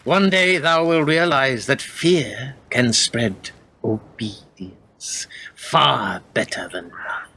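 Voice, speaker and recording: An actor playing a cruel, menacing middle-aged lord in a radio play, speaking in archaic, theatrical phrases. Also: male voice, mid-range voice, slow pace, pushed and bright, slight background noise. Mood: stern, proud.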